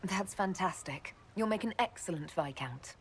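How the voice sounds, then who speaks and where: A woman's voice: fairly low pitch, expressive, normal speed, a little hiss or hum, natural voice. A woman playing a playful, composed heroine and leader in a video game, with a poised, teasing delivery. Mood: poised, amused.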